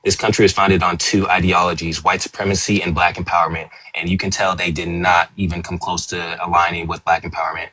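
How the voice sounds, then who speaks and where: Deep flat-voiced man debating. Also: fairly quick, hardly any pauses, no echo, poor audio. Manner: steady, earnest argument. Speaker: panelist. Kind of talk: live online panel debate. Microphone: remote call audio.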